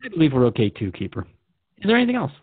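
A man's voice: on the high side, huge pitch swings, very fast, husky, no echo. A man hosting a radio drama interview in a thoughtful, courteous manner. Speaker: radio show host. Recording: dry, narrow phone-like band.

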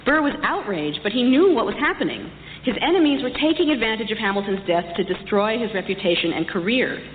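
A woman giving a prepared public lecture, telling history in measured, emphatic and deliberate phrases. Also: fairly high pitch, lively intonation. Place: large reverberant hall. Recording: echoey hall, dull distant sound, faint noise.

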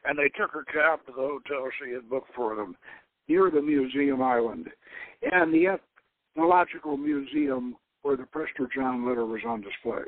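A man's voice: medium pitch, normal speed, no room sound. A man giving a dramatic narration of an adventure novel as host and author, now and then stumbling and rereading a word. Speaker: host reading his own novel. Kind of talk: dramatic audiobook-style narration. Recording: narrow phone-like line.

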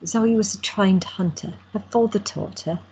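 An actress playing a devoted granddaughter who speaks gently and reassuringly. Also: female voice, medium pitch, moderate pace, slight background noise, close to an everyday voice. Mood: gentle. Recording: dull lo-fi recording.

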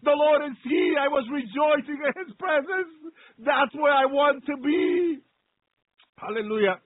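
High-voiced man preaching with fervor. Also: measured pace, no echo, narrow, tinny audio. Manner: exclamatory and repetitive, punctuated with praise interjections. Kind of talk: fervent extemporaneous sermon with prayer.

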